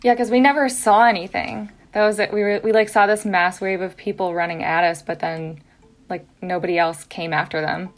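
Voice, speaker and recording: A woman's voice: mid-range voice, fairly even intonation, a little fast. A young American woman casually recounting something that happened to her and friends. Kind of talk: casual recollection.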